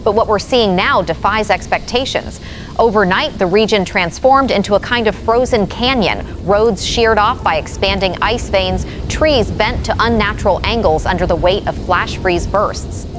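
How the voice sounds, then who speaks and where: A mid-pitched female voice of an actress plays an uneasy field news reporter. She reports seriously and descriptively, with music clearly playing behind her. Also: moderate pace, natural voice.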